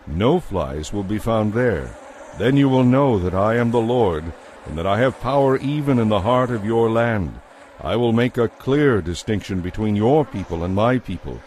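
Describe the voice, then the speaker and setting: A man narrates a scripted Bible drama. His voice sits a little low, and he tells the story in a solemn, measured way. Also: lively intonation, a little mellow, a little hiss or hum.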